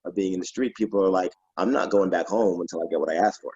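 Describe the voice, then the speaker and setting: A man's voice: deep voice, fast talker, darkish tone, husky, no echo, dull audio. A man speaking as a protest organizer on an online panel, matter-of-fact and listing demands with some restarts. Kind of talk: panel conversation. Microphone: video call.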